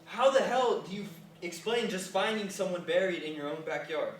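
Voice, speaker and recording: A man's voice: high-pitched, brisk, close to an everyday voice. A man in a staged reading, playing a nervous, reluctant caregiver who stammers and sounds apologetic but sensible. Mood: uneasy, firm. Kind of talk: staged reading of a dramatic comedy. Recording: echoey room from far off, faint noise.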